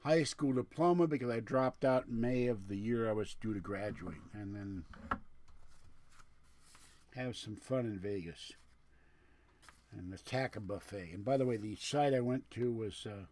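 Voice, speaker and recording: A man's voice: lowish voice, a little slow, long pauses, darkish tone. A man with a lisp chatting casually and rambling to viewers on a livestream, with a digressive, grumbling manner. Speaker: livestream host.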